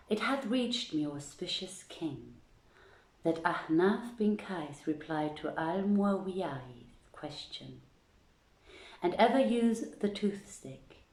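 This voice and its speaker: Female livestream host and story reader; low, mellow voice, roomy home recording. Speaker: host reading aloud at an online event. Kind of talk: informal livestream chat and storybook reading.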